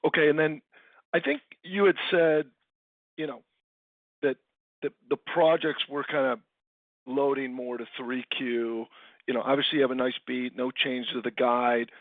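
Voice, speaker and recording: A man's voice: medium pitch, fairly even intonation, moderate pace, pauses often, dry recording. A man asking casual business questions as an analyst on a conference call, rambling with filler phrases over a telephone line. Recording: dry, narrow telephone line.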